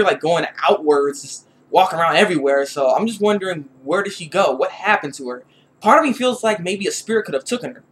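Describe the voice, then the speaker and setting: A man giving a casual, off-the-cuff episode review, rambling in slangy, expressive speech and often repeating his phrases. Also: high-pitched, slight background noise. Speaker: YouTube reviewer.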